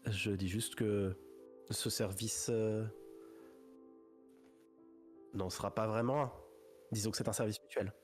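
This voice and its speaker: A man doing a wary debtor's son in a tabletop game, with a lowish voice, halting and hedging as he bargains, with faint music underneath. He keeps restarting his sentences and picks his words carefully. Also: steady tone, lightly acted. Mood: guarded. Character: wary young man bargaining over his father's debt. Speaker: game master. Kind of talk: voicing a character in a tabletop game.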